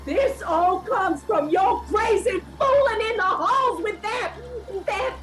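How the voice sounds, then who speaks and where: A woman's voice: very high voice, lively intonation, takes it slowly, heavily acted. A woman playing a distraught, hysterical mother in a radio play, wailing melodramatically. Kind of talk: theatrical radio play acting. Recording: distant from mic, under loud music.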